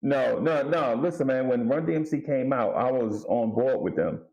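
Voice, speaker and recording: A man's voice: medium pitch, moderate pace, darkish tone, rough recording. A middle-aged American man chatting casually with friends as a panelist on a music show. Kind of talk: casual chat among friends.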